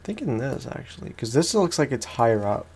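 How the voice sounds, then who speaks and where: A man's voice: medium pitch, sing-song, brisk, a little mellow, slight background noise. A man giving casual, rambling let's-play commentary with informal slang, chatting to viewers as he plays. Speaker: gaming content creator, let's play host. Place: room at home.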